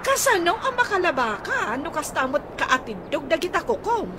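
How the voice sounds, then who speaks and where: A woman acting an excitable, fussing friend in a comic radio drama, exclamatory and disbelieving, with an Ilocano accent. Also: extremely high pitch, normal speed. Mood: nagging disbelief. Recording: noisy radio drama recording.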